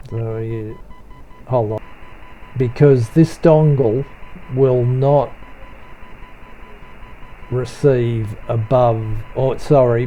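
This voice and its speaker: A man giving an unscripted software tutorial voice-over in a low-key, hesitant, halting way. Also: rough recording.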